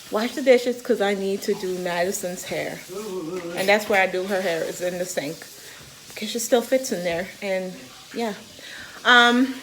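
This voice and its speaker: A woman's voice: medium pitch, poor audio. Jamaican woman vlogging about her kids, sassy and teasing, background noise all through. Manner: sassy, teasing, animated storyteller. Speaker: mom vlogger. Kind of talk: casual chatty vlog.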